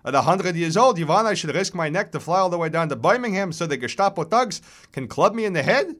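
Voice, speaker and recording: Reedy, chirping ancient New Yorker. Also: male voice, high-pitched, expressive, voice pushed up. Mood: indignant, needling. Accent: Yiddish-inflected New York. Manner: quick, vigorous, sharp diction. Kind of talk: dramatized short story reading.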